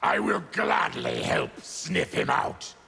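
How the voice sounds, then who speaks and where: An actor playing a brutal, brooding, disease-ridden monster warrior in a video game, growling with grave menace. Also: male voice, high voice, huge pitch swings, slow pace, gravelly, sharp tone, breathy edge, full character voice. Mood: resolute. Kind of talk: video game character dialogue.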